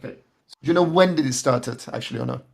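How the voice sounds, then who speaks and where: A man's voice: mid-range voice, quick pace, vocal fry. A man from Iran chatting on an online call in a debate, wry, often stumbling and repeating words. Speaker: debate participant.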